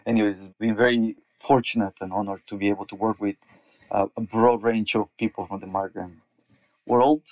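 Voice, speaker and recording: A man's voice: on the low side, fairly even intonation, normal speed, darkish tone, dry recording, dull audio. A man from Colombia, an activist and educator, speaking as a guest in earnest, searching conversation with frequent restarts. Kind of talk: conversational interview.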